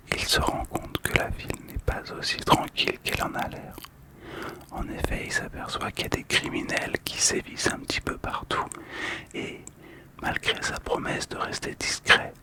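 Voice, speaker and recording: Male ASMR host, fully whispered, unhurried and soft, calm storytelling delivery. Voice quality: breathy, unvoiced whisper. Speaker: host of a relaxation channel about collectible cards.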